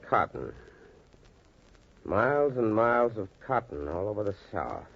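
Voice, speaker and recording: An actor playing an earnest, confident doctor in 1940s radio style, with an emphatic, oratorical manner and a husky voice. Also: male voice, on the low side, sing-song, measured pace, heavily acted. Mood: musing. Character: earnest, confident male doctor addressing skeptical colleagues. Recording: dull, lo-fi 1940s radio recording.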